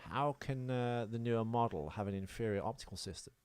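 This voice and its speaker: A man's voice: mid-range voice, brisk, a little mellow, smooth, no echo. A man co-hosting a podcast in casual film chat, rambling and jokey as he goes through his notes. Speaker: podcast co-host.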